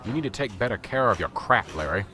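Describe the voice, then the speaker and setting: A man voicing a gruff, impatient male police sergeant in an amateur radio drama, curt in manner. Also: fairly low pitch, animated, fast talker, sharp tone.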